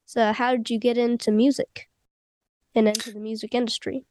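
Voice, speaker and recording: Nasal host with a head cold. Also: female voice, on the high side, little pitch movement, moderate pace, a little mellow, no echo, clean studio sound. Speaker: podcast host. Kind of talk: interview hosting.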